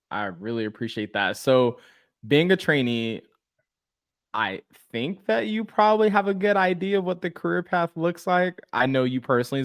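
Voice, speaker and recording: A man with a mid-pitched voice hosts a casual interview. He sounds affirming and encouraging, and the recording is dry, free of room echo. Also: smooth. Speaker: podcast host, interviewer.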